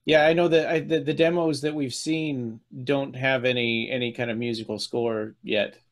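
A man's voice: medium pitch, fairly even intonation, normal speed. A man in his forties co-hosting a podcast in a casual, conversational way. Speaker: podcast co-host. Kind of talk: casual chat.

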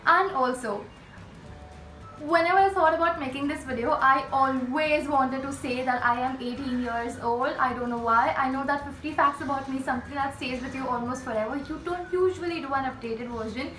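An eighteen-year-old woman chats straight to camera, her voice high and sharp-edged. She talks in a small room with a roomy echo, and her hands are busy as she speaks. Soft music plays faintly underneath. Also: normal speed. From India. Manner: expressive, gestures with her hands. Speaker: YouTuber. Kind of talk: casual facts-list vlog. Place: small indoor room by striped blinds. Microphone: camera in front, chest-up framing.

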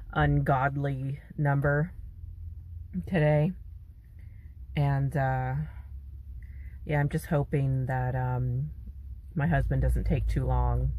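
A woman's voice: very deep voice, darkish tone. A woman in her thirties from California, chatting casually to her phone camera, first in a parked truck and then at home, sighing and grumbling. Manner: slow, sighing, grumbling complaints. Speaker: vlogger. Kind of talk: casual vlog chat. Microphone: phone at arm's length.

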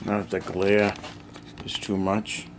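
A man's voice: low voice, level tone, takes it slowly, background noise. A man in a cluttered basement hobby room, casually thinking aloud with fillers while he paints a model, picked up by a camera mic near his hands.

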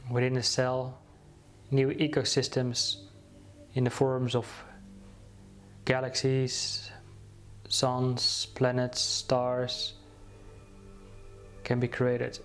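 A man leading a guided meditation, speaking slowly and soothingly with many pauses and restarts. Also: medium pitch, completely flat delivery, a bit bright, soft background music.

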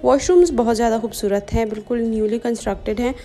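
A woman's voice: a little high, fast, mellow, soft background music. A young woman, narrating a home vlog in a casual, chatty style. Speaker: vlogger.

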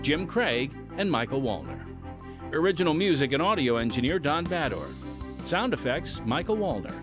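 A man hosting an audio drama podcast, reading announcements with casual, conversational asides. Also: mid-range voice. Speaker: podcast host.

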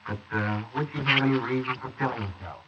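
A man playing a brisk, curt police detective sergeant who is clipped and impatient, in 1940s radio acting. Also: mid-range voice, over loud music, close to an everyday voice. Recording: hissy lo-fi 1940s wire recording.

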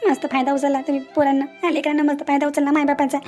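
A woman's voice: high-pitched, flat delivery, rapid-fire, a little mellow, soft background music, close to an everyday voice. A woman voicing a defensive, self-pitying village mother in a cartoon comedy, complaining repetitively with a rural Varhadi Marathi accent. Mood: aggrieved, lamenting. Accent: Varhadi Marathi from Vidarbha, Maharashtra. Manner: complaining, repetitive rustic wailing talk. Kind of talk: cartoon family comedy dialogue.

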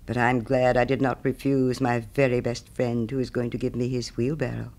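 A man's voice: medium pitch, level tone, moderate pace, a little mellow, lightly acted. A man voicing a naive, humble little man in a storybook tale, earnest and eager to please his friend. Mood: meek, weary. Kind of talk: storybook character reading.

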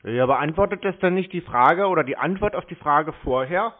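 Man, fairly high voice, mock-naive phone prankster, narrow tinny line. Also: animated, moderate pace. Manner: feigned naive, teasing questions. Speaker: prank call taker baiting phone scammers. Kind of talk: casual phone prank chat. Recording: muffled, narrow-band phone call.

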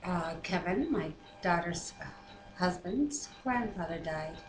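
A woman's voice: fairly low pitch, expressive, slow. A middle-aged to older woman co-hosting a Bible reading with chatty, casual devotional talk, picked up by a webcam in a living room. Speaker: co-host of a Bible reading series. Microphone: webcam microphone at arm's length. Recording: roomy webcam audio with faint noise.

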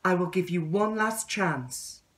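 A man's voice: sits high, animated, unhurried, a bit bright, distant mic, pitched above the performer's own voice. A man voicing a sweet but reproachful young female caterpillar, lovestruck and demanding, in a children's storybook.

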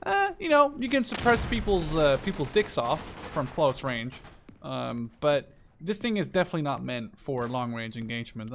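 A man's voice: medium pitch, a bit bright. A man in his twenties giving casual, rambling, sweary gameplay commentary. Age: 20s. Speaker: gaming video creator. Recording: game gunfire and artillery noise. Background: shooter game gunfire and explosions.

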